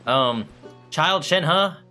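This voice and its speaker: A man's voice: a little high, expressive, extremely slow, sharp tone, faint music behind. A man giving casual commentary as a gaming streamer, rambling and thinking aloud.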